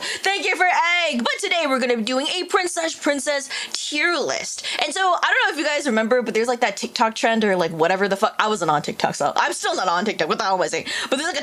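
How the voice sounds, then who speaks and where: A woman's voice: fairly high pitch, a bit bright. A woman VTuber chatting casually on a livestream, bubbly, laughing often and swearing casually. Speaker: VTuber streamer.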